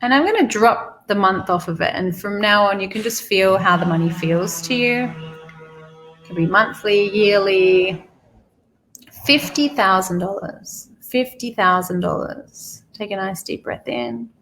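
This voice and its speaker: A woman guiding a meditation slowly and soothingly, repeating phrases with pauses, in a creaky, gravelly voice. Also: medium pitch, a bit bright, a little hiss or hum, low-quality recording. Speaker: guided meditation leader. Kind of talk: guided money meditation, spoken softly to the listener.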